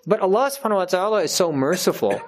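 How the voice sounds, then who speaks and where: A man's voice: high voice, animated, racing. A man speaks to his community at a gathering, delivering a spontaneous religious talk. His manner is earnest and emphatic. He often restates a line to drive it home. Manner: earnest, emphatic, repeats key phrases for stress. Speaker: religious teacher addressing his congregation.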